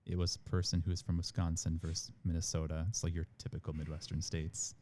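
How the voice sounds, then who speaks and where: Low, mellow-voiced man rambling casually. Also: completely flat delivery, quick pace, a little hiss or hum. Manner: rambling, many "like" and "again" fillers. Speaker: international admissions manager, guest. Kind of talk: conversational podcast interview.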